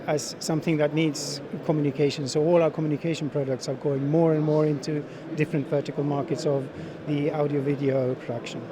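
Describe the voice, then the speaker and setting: Middle-aged male interviewee, slightly high voice, halting delivery, trade show bustle behind. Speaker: sales manager being interviewed at a trade show. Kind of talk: unscripted interview answers. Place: busy exhibition hall. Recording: busy trade show crowd noise.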